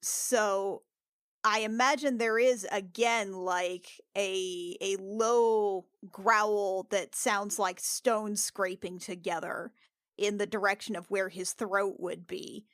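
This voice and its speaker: A woman's voice: mid-range voice, steady tone, slow, fairly bright tone, no room sound, clean studio sound. A woman playing in a tabletop role-playing podcast, narrating casually and tentatively as she thinks aloud. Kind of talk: casual improvised game narration.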